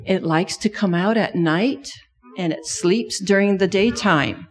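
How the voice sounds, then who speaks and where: A middle-aged woman whose first language is Thai tells a children's sermon story at a slow, unhurried pace. She keeps stopping to ask the children questions as she goes. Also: medium pitch. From Thailand. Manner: asks children many questions.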